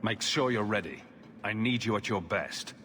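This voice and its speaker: A man playing a steady, protective heroic leader in a video game, speaking in a calm, resolute manner. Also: lowish voice, normal speed, a little hiss or hum, lightly acted. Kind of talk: dramatic video game cutscene acting. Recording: long reverb, game music behind.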